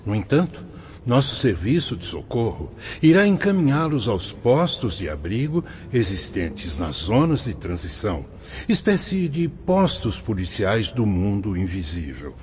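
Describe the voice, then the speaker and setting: A man's voice: on the low side, big pitch swings, measured pace, a little mellow, lo-fi sound, a little hiss or hum. A man acting a wise, fatherly spirit mentor in a radio soap opera, speaking in a solemn, didactic, formal manner. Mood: solemn, instructive. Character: wise, fatherly male spirit mentor explaining afterlife rules.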